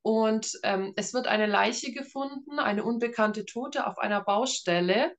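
A woman's voice: on the high side, unhurried. Chatty woman in her thirties. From Germany. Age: 30s. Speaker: author, interview guest. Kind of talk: casual interview chat.

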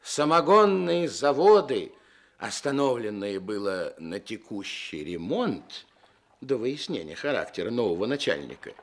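A man narrating a literary story for a radio play, with wry, measured and expressive phrasing. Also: on the low side, full character voice.

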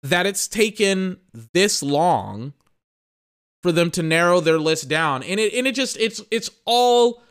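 A young man with a smooth, resonant voice runs a sports podcast in loose, ranting commentary. He talks emphatically, circles back over his phrases and swears freely. He sounds a little tired. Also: sits high, lively intonation, slow pace, fairly bright tone.

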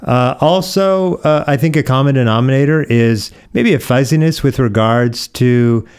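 A middle-aged American man from Oregon, a pastor being interviewed, explaining things conversationally with thoughtful, measured pauses. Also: mid-range voice, a little mellow, clear, resonant tone. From Oregon, United States.

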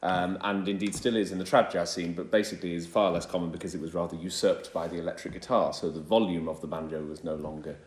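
A man with an English accent, a guest banjo player, telling quick self-deprecating stories on stage and laughing at his own jokes. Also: sits low, fairly even intonation, slight background noise. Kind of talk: storytelling live chat. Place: live venue with audience. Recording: roomy live stage sound.

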